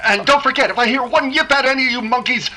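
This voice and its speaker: An actor playing a desperate armed gangster in 1930s radio crime drama style, menacing and barking out commands. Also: male voice, very high voice, quick pace, pushed and bright, exaggerated voice. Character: desperate armed gangster villain threatening hostages.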